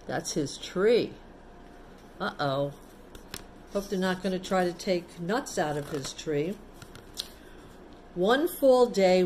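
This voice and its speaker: A woman's voice: lowish voice, a little mellow, slight background noise. A woman storyteller reading a picture book aloud to children, adding simple explanatory asides in a casual, child-directed way.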